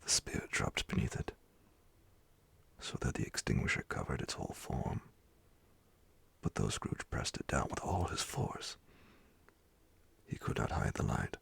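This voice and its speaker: A man reads a story in a soothing, breathy ASMR whisper, close to the mic. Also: very deep voice, no echo. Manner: soothing, hushed, expressive reading. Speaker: storyteller, narrator. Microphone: close microphone, dry.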